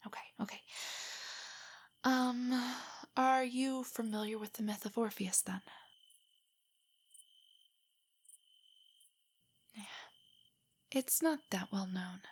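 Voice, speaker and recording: Woman acting as a young nerdy girlfriend, high breathy voice, soft and whispery, shy, affectionate, rambling, intimate audio roleplay. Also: unhurried, pauses often. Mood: gently guiding. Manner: hesitant, rambling, earnestly explanatory.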